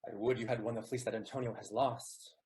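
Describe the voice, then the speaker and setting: An actor playing a grave, loyal gentleman messenger in a Shakespearean audio play, speaking formally. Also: mid-range voice, fairly even intonation, fairly quick, natural voice. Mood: grave, indignant. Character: grave, loyal Venetian gentleman messenger bearing bad news.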